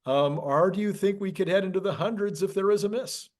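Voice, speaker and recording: A man hosting a casual market discussion show in a jovial way, quick to chuckle. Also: sits high. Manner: jovial, laughs often. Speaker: host.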